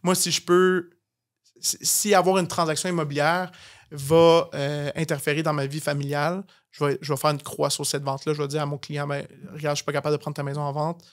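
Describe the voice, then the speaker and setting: A young man with a Quebec French accent speaks in a slightly high voice at a rapid clip. He is informal and emphatic, tossing English slang into casual podcast conversation. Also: flat delivery. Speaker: podcast guest, real estate agency owner.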